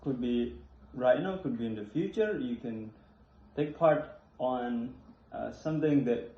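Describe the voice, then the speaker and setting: A young man from Indonesia, hosting a casual conversation in an apartment, talking haltingly and repeating words as he looks for the phrasing. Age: young adult. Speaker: host. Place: apartment room. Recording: echoey, dull low-fi room recording.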